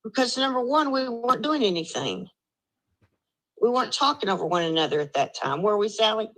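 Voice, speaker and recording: A woman's voice: a little high, lively intonation, fairly quick, a bit bright, poor audio. A woman on a group video call, speaking in an upset, plaintive way over a connection that cuts in and out. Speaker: panelist on a live stream call.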